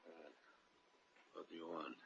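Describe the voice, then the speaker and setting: Deep-voiced, brooding local loner. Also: male voice, little pitch movement, unhurried, creaky, fairly bright tone, husky, dry recording, heavily acted. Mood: wary. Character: brooding local loner who knows the castle's secrets. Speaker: radio actor. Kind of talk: 1940s-50s radio suspense drama acting. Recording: noisy, muffled old radio recording.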